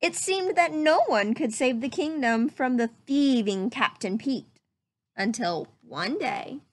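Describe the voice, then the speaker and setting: A woman reading a children's story aloud as narrator, with expressive, deliberate story-time delivery. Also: high voice. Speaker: storybook reader.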